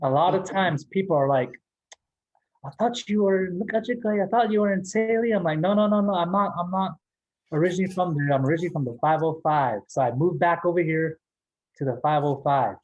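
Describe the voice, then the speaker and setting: A man's voice: sits high, fairly quick, darkish tone, dull audio. A man from the Navajo Nation in New Mexico, giving a casual motivational talk as guest speaker, joking and laughing often.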